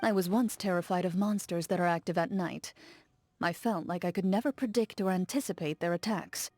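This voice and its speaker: Casting: actress as a guarded young duelist, dark mellow tone, slightly low, formal and restrained. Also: female voice, dry recording, soft background music, close to an everyday voice. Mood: reflective. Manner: calm, measured, formal and reserved. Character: stoic young woman duelist, composed and guarded. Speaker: game character. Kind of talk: game character voice lines.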